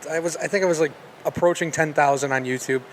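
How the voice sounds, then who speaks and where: A young man hosting a casual podcast chat, quick and emphatic with slangy phrasing. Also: fairly high pitch, fairly even intonation, a little hiss or hum, poor audio.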